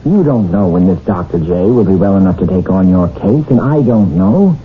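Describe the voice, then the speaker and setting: An actor playing a firm, plain-speaking friend in a radio drama. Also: male voice, sits low, expressive, normal speed, a little hiss or hum. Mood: firm, earnest. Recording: narrow-band old radio broadcast, music.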